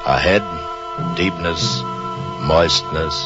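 An actor playing the grave, measured narrator of an old radio suspense drama, telling the tale dramatically. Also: male voice, low voice. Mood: grave, suspenseful. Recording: dull old radio recording, music behind.

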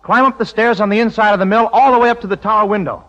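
A man's voice: high voice, racing. An actor playing an eager, earnest young man in love in a vintage radio comedy. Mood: eager, scheming. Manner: earnest, excitable. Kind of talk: 1940s-50s radio comedy acting. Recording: dull old radio recording, faint music.

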